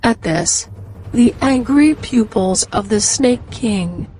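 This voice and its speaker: A woman's voice: a little high, expressive, slow pace, mellow, poor audio. A woman narrating a scripted fairy tale as a storyteller.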